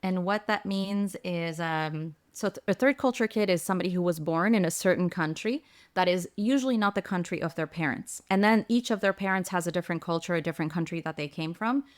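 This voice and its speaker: This is a middle-aged woman from the United States who grew up between France and Colombia. She talks as an executive coach on a podcast, in a casual, animated and upbeat way. Her voice sits a little low for a woman. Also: fairly quick. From United States, raised between France and Colombia. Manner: upbeat, animated, laughs easily. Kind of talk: casual interview talk.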